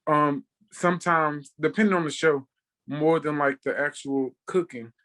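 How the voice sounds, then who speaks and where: A man's voice: on the high side, measured pace, a bit bright. A man in his thirties from Texas, a chef guesting on a podcast and telling personal stories casually. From Texas, United States. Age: 30s. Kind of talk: casual conversational storytelling.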